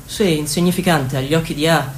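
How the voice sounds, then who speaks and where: A woman's voice: low-pitched, a little fast, natural voice. A woman playing an anxious, self-effacing male political expert in a radio drama, hesitant and stammering. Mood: nervous, submissive. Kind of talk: theatrical radio drama monologue. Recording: echoey room with background noise.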